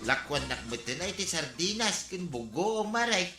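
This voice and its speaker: A man's voice: sits high, sing-song, moderate pace, echoey room, loud music behind the voice. A man with an Ilocano accent playing a lazy, grumbling idle village husband who whines and argues in a radio comedy drama.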